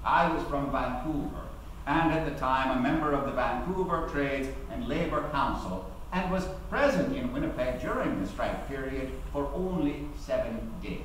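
A middle-aged actor playing a proud, eloquent male labour activist recalling his trial, speaking in formal, deliberate theatrical oratory with emphatic pauses. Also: mid-range voice, a bit bright, lightly acted. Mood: earnest, declamatory. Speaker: actor giving a dramatic reading. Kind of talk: theatrical courtroom-style oratory at a lectern. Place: large auditorium, at a lectern. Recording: very echoey hall, distant mic, faint noise.

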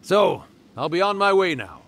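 A man's voice: high voice, sing-song, normal speed, creaky, a bit bright, a little hiss or hum, full character voice. A man voicing an ancient spirit storyteller who is wry, resigned and fond of an old friend, in a video game. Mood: sardonic.

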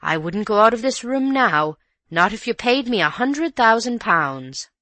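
A woman narrator puts on an older housekeeper aunt from a storybook. She is scornful and easily panicked, with a quavering voice that swoops in pitch, recorded dry and close. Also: lively intonation, slow, fairly bright tone, dry recording.

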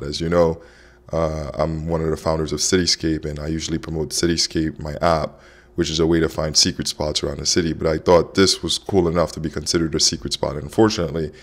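A man in his thirties from Haiti, hosting a casual explainer vlog in a conversational way. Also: very deep voice. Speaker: host, app co-founder.

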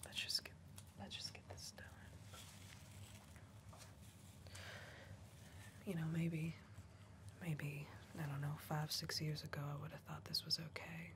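A young woman playing a weary, defiant member of a backwoods crime family in an ASMR roleplay, in a hushed, halting breathy whisper. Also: low voice, little pitch movement, background noise. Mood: reproachful. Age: young adult. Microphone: close ASMR microphone.

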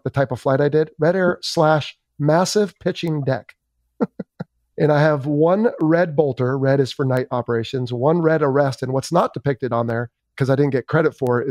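A man's voice: on the high side, a little mellow, no echo. A man hosting a podcast interview in a casual, wry, conversational way, talking like a former military pilot. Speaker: podcast host, former Navy fighter pilot.

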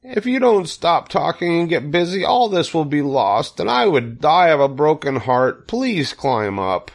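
A young storybook pig, voiced by a male reader, high and very animated. He is emotional and desperate, crying out and pleading as a loyal friend would. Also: measured pace, full character voice.

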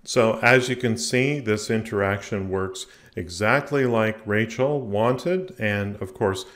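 A man giving a step-by-step software tutorial in a methodical, explanatory way. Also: fairly low pitch, slow pace. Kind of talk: step-by-step screencast tutorial narration. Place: indoor voice-over recording for a screen capture.

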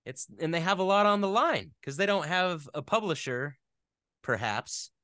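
A man's voice: a little high, expressive, moderate pace, pushed and bright, dry recording, clean studio sound. A man speaking as an interview guest in a casual, thoughtful, slightly rambling way. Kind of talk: casual chat.

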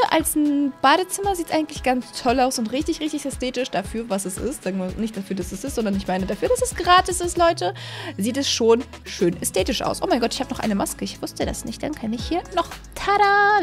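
A woman's voice: high-pitched, animated, brisk. A young woman hosting a casual gameplay video, chatty and enthusiastic, talking straight to viewers into a close desk mic. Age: young adult. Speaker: host of gaming videos. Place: small indoor streaming room at home. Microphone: close desk microphone on arm. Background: music.

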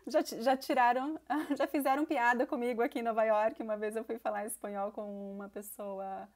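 This is a Brazilian woman in her thirties who teaches Portuguese, chatting as a guest on a podcast. She is enthusiastic and laughs easily. Her voice is a little high for a woman. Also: steady tone, a little fast. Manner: warm and enthusiastic, laughs often. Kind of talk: casual interview conversation.

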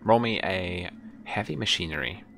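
A man's voice: deep voice, animated, normal speed, creaky, background noise. A young man working as game master, casually giving rulings and narrating the scene in an easygoing, informal way. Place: indoor room at home, video call.

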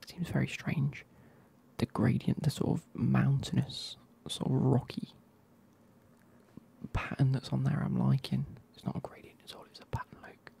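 A man, reviewing football kits in a soft, casual whisper with wry asides. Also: medium pitch, flat delivery, moderate pace, gravelly, slightly rough.

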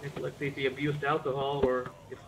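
A man's voice: medium pitch, little pitch movement, quick pace. A man playing a medic in casual, improvised game roleplay, muttering commentary as he examines and swearing now and then. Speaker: roleplay player acting as a medic. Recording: noisy game voice chat.

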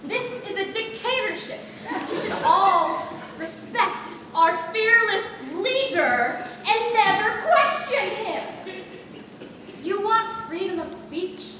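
A teenage girl playing a tyrannical, threatening enforcer in a high school stage comedy. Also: lively intonation, exaggerated voice. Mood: menacing. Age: teens. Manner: deliberate, threatening, bombastic. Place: large theater stage, filmed from the audience. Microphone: far camera. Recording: far-off echoey auditorium, noisy.

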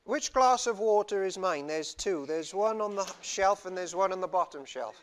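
Young guy from wales preaching, light high voice, loose and jokey, clip-on mic in a little church. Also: expressive, normal speed, slight background noise. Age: young adult. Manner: chatty, self-deprecating, storytelling; gestures freely while talking. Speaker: visiting preacher. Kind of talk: informal sermon. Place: small church hall, indoors. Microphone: lapel mic.

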